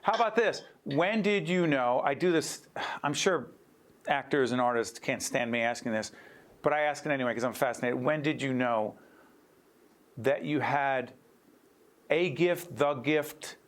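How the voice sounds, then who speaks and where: A man's voice: mid-range voice, a little slow. A man from New Jersey hosting an interview, chatty and joking, often restarting and wandering off his questions. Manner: chatty, jokey, restarts and digresses. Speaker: interview host. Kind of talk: casual interview chat.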